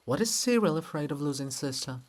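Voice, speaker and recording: A man's voice: a little high, expressive, a little fast, natural voice. A man voicing a proud, insistent princess in a dramatic reading, imploring and solemn. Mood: questioning. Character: proud, pleading princess, insistent and braced for pain.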